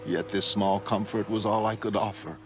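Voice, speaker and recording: A man playing a grim shipwrecked castaway, narrating his ordeal in a slow, solemn, literary manner. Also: fairly low pitch, fairly even intonation, over music, lightly acted. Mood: mournful, solemn. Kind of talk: scripted dramatic narration.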